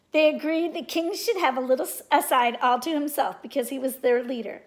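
A middle-aged woman reading a children's storybook aloud in an expressive, deliberate way. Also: high-pitched. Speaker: storybook reader. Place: indoors, living room at home.

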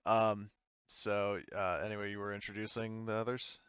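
A man's voice: on the low side, monotone, normal speed, fairly bright tone, clean tone, no echo, highs cut off. A man narrating a tabletop roleplaying game as game master, hesitant and searching for words.

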